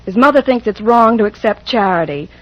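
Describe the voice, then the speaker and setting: A woman's voice: fairly high pitch, animated, a little fast. A woman playing a concerned, patient clinic therapist in an old western radio drama, earnest and hesitant. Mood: worried. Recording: muffled vintage radio recording.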